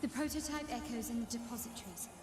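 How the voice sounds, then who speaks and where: Actress as a high-voiced, poised lady boss. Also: quick pace, music in the background, natural voice. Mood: poised, commanding. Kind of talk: video game voice acting.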